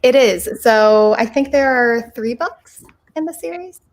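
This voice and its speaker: A woman's voice: on the high side, lively intonation, measured pace. A woman chatting casually about books as a guest on a livestream video call. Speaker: book vlogger, livestream guest.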